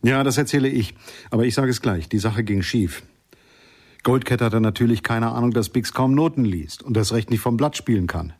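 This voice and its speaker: Ein Schauspieler spielt in einem Hörspiel einen trockenen Anekdotenerzähler mit belegter Stimme. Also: male voice, mid-range voice, darkish tone. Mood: wry. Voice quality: husky. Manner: deliberate, repetitive storytelling. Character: wry male witness telling anecdotes. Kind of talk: radio drama storytelling.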